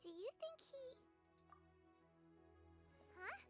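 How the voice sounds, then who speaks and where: A woman voicing a tiny, excitable fairy-like sidekick with a squeaky, childlike voice for a video game. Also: very slow, over music, full character voice. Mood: concerned. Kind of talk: English video game voice acting.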